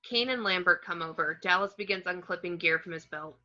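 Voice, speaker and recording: Woman, low pitch, bright crisp tone, measured screenplay narration, slightly dull home mic. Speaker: reader of action descriptions.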